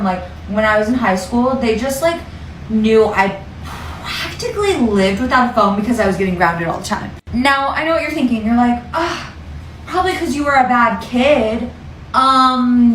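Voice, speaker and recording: A woman's voice: fairly high pitch, fairly bright tone, a little hiss or hum. A young woman telling a casual personal story in a conversational, self-deprecating way, peppered with "like". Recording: roomy echo, distant from mic.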